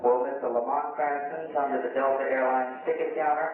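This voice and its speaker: An actor playing an airport announcer, making a formal page over a public-address loudspeaker. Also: male voice, medium pitch, fairly even intonation, a little fast. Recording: echoing, tinny, noisy loudspeaker sound.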